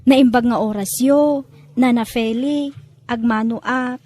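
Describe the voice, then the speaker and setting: A woman acts as a young granddaughter in radio drama. Her voice is fairly high, and she sounds sad, polite and plaintive. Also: fairly even intonation, measured pace, darkish tone. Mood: pleading. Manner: plaintive, respectful. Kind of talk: Ilocano radio drama acting.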